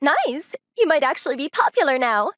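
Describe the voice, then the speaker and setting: A bossy, confident young girlfriend from a romcom manga dub, played by a woman. Her voice is fairly high with lively swings, blunt and playfully demanding. Music runs behind her. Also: moderate pace, no echo. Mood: blunt, approving.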